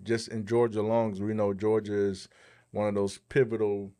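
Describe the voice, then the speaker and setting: A man gives casual commentary as a podcast co-host. His voice sits a little low. He speaks haltingly, pausing mid-thought as he works toward his point. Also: flat delivery, dark tone.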